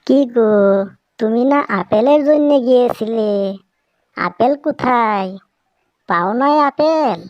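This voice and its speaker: A woman acts a caring mother tailorbird in a cartoon. She coaxes in a homely way, her female voice fairly high and closely, dryly recorded. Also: takes it slowly, long pauses, darkish tone, no echo, highs cut off. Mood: questioning. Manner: coaxing, homely. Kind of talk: Bengali cartoon character dialogue.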